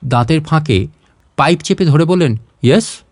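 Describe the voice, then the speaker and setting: A man narrating a literary short story in an expressive, dramatised reading style. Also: a little high, normal speed, dry recording, studio quality.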